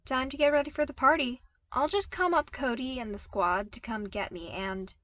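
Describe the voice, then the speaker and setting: A woman plays a cheerful young male apprentice in an audio story. The voice lifts high and sounds earnest and curious, with casual, open phrasing. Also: moderate pace, fairly bright tone, dry recording. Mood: upbeat.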